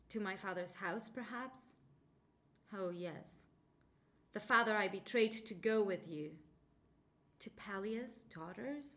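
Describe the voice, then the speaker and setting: A woman declaiming as a scorned vengeful queen. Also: medium pitch. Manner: loud, declaiming, sarcastic. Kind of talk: dramatic monologue reading.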